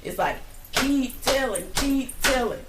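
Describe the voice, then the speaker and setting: A woman's voice: high voice, slow, over loud music. A middle-aged woman school counselor talking expressively as a guest in a small studio. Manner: lively, expressive. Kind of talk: casual talk show chat. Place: small studio lounge, indoors. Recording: roomy, a bit distant.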